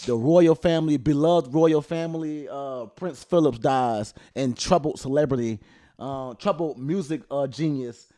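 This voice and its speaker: A man, hosting a casual podcast chat with loud, joking, profane banter. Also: a little slow. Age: 30s. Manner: loud, joking, swearing banter with sudden jumps in pitch. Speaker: podcast host.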